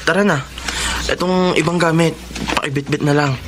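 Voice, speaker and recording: A man's voice: a little high, steady tone, moderate pace, very noisy, lightly acted. A man with a Filipino accent playing a defiant, determined young son in a radio drama. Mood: resolute.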